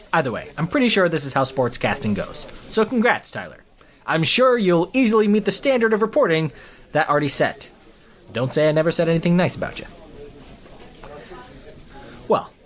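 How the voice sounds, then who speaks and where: Snide, pompous male anchor, acted, very lively pitch, mock newscast comedy. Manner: pompous, sarcastic. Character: snide, self-important, flippant news anchor.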